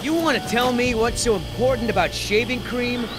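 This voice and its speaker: Cocky high-voiced mercenary man. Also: moderate pace. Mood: taunting. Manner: mocking, smug, bossy. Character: cocky, menacing male mercenary in command. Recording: music under the voice.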